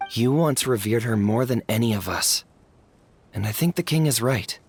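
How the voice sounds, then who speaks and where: A man playing an earnest, humble, courteous subject of a monarch in an anime game, speaking sincerely and in measured phrases. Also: medium pitch, expressive, slightly rough. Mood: earnest pleading. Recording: music under the voice.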